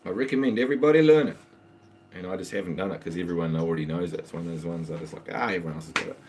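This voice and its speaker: A man's voice: sits low, very fast, a little mellow, husky. A man who teaches guitar, chatting casually on a live stream at home and working through viewer song requests in a rambling, easygoing way. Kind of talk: casual live-stream chat between songs. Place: home, with a water pump running in the background.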